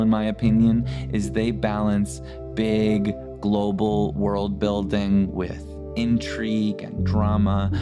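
Guy doing a podcast intro, lowish voice, earnest, guitar music playing behind him. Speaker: podcast host. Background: plucked guitar music.

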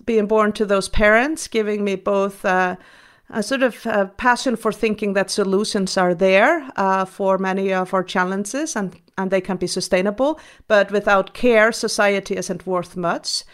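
A woman's voice: medium pitch, fairly even intonation. Earnest woman in her forties. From Iceland. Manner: earnest and measured, with frequent ums and restarts. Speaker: guest. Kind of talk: reflective interview answers.

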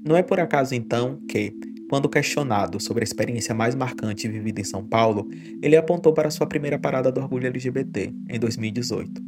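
A man reading scripted documentary narration in a measured, even way. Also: mid-range voice. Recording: narration over loud music. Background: strong music bed.

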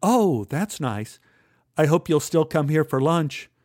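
A man's voice: on the high side, lively intonation, slow, darkish tone, no room sound, studio quality, voice pushed up. A man voicing an easygoing, unimpressed American waitress in a story, speaking casually and matter-of-factly. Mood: unfazed, friendly.

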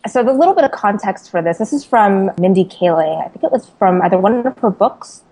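A woman's voice: mid-range voice, lively intonation, brisk, mellow, husky. A woman from California, a journalist guest in an interview, answering thoughtfully. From California, USA. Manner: thoughtful, some "uh" and restarts.

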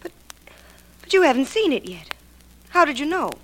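An actress playing a brassy stage star in an old radio drama, slangy and direct. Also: female voice, high-pitched, very animated, normal speed, poor audio. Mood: worried. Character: brassy, wisecracking female Broadway stage star. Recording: old radio recording with faint noise.

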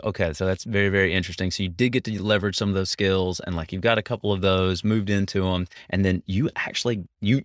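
A man's voice: low voice, no room sound, clean studio sound. A man hosting a podcast interview, asking casual, rambling questions full of fillers. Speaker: podcast host. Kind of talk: conversational interview.

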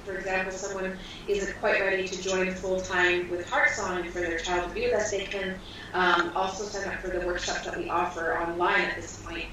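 A woman's voice: on the low side, little pitch movement, normal speed. A woman guest describing her school in flowing, earnest interview answers with frequent ums, heard from far off in a very echoey, noisy room.

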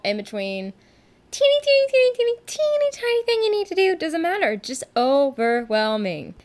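A woman hosting her own solo podcast from her couch at home, talking in a chatty, rambling, candid way and often poking fun at herself. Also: on the high side. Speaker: podcast host and rock musician. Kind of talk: casual solo podcast monologue.

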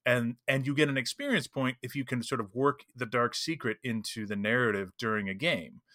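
A man with a bright, forward voice at an average pitch, talking as a podcast co-host. His delivery is casual conversation, captured dry with little room sound. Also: brisk, no echo. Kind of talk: casual podcast chat about role-playing games.

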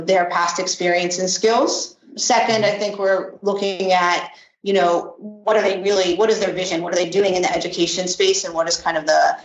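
A woman with a slightly low voice gives structured, explanatory interview answers. She speaks off the cuff, working point by point. The sound is echoey and somewhat dull. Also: slight background noise. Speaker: startup accelerator manager, interview guest.

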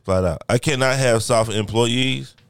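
A middle-aged man co-hosting a casual podcast, speaking bluntly and emphatically in slang with a deep, carrying voice. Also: a little slow, no echo, studio quality. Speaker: podcast co-host. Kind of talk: casual banter with wife. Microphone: own podcast microphone.

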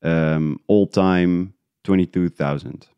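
A man from the Netherlands, talking casually in an interview, halting as he thinks aloud. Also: low voice, fairly even intonation, smooth, dry recording, highs cut off. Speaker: guest, independent podcast creator.

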